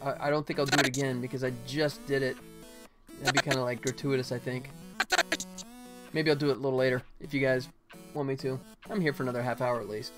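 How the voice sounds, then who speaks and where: A man's voice: medium pitch, steady tone, quick pace. Chatty American man streaming over music. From United States, Atlanta. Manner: informal, chatty, addresses viewers by name. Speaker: game developer and livestream host. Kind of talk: casual livestream chat while working.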